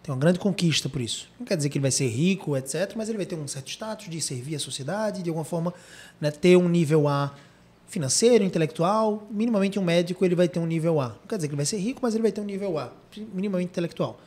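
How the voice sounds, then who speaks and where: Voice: mid-range voice, animated, a little mellow. A podcast host with a Brazilian accent, telling a long example at length and asking rhetorical questions. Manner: rapid storytelling, rhetorical questions. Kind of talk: podcast discussion.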